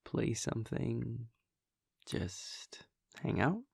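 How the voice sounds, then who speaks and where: A man playing a playful, affectionate vampire boyfriend in an intimate audio roleplay, talking casually and teasingly in a breathy, gravelly voice that often drops near a whisper. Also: medium pitch, sing-song, very slow, dry recording, studio quality, exaggerated voice. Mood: playful, fond. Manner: wry, teasing, hesitant, chuckling casual delivery.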